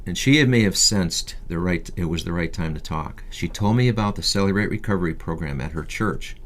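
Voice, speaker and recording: A man's voice: deep voice, brisk, fairly bright tone. A man in his fifties reading a scripted first-person memoir as an audiobook narration. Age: 50s.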